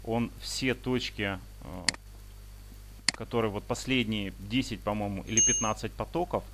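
A man giving an unscripted software tutorial, explaining step by step in a halting way over a screen recording. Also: on the low side. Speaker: instructor demonstrating paragliding flight software. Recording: clearly noisy home screencast with clicks.

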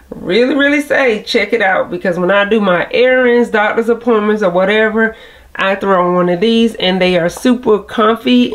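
A woman, chatting casually to camera in a rambling, self-correcting way. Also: medium pitch. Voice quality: nasal. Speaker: vlogger. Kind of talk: casual vlog chat to camera.

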